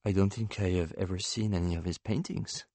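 A man's voice: low voice, fairly even intonation, brisk, mellow, dry recording. A man playing a polite, lovelorn banker in an audiobook, formal and earnest in his speech. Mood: subdued.

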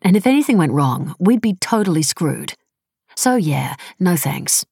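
A woman acting a prickly, scornful young-sounding woman, gravelly. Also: lowish voice, sing-song, unhurried, darkish tone, no room sound, studio quality, exaggerated voice. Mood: indignant. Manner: blunt, sarcastic, peppered with casual "like". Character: defensive, scornful, foul-mouthed woman activist, earnest and prickly.

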